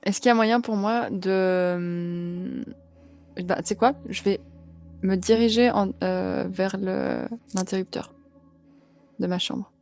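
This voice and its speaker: A woman's voice: mid-range voice, fairly even intonation, slow pace, a little mellow. A woman taking part in a tabletop roleplaying game, improvising casually and thinking aloud with hesitation.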